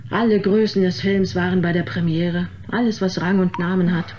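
An actress playing a vain, theatrical aging film star who complains haughtily and melodramatically in a radio play. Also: female voice, on the low side, fairly even intonation, normal speed, a little mellow, natural voice. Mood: indignant. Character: vain, theatrical, aging former film diva, easily outraged. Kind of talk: German radio drama acting. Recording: dull, noisy sound with ambience.

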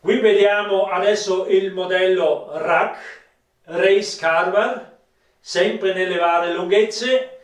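A man in his fifties with a South Tyrolean accent, presenting products in a halting, plain-spoken way, recorded from a distance in a roomy space. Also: sits high, steady tone. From South Tyrol, Italy. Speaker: ski maker presenting own products. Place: small wood-panelled rooms of an old farmhouse.

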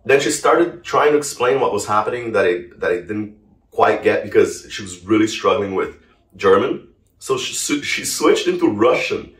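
Low-voiced man from Brazil, roomy sound. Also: expressive, moderate pace, a bit bright. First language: Portuguese. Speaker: interview guest, polyglot. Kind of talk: casual conversational storytelling. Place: indoor living room, on a sofa. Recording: roomy, distant microphone.

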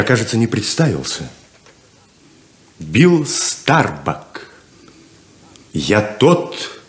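A self-assured traveling rainmaker for a 1970s radio drama. His voice sits fairly low and moves in lively, theatrical swells as he grandly persuades. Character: smooth-talking, self-assured male con-man rainmaker. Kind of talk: 1970s Soviet radio play acting.

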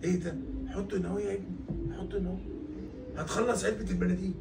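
An older man with an Egyptian Arabic accent playing an exasperated father in a family comedy sketch, scolding and sneezing loudly. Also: sits high, fairly even intonation, very fast, vocal fry. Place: living room at home. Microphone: far camera. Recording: echoey room from far off, loud noise.

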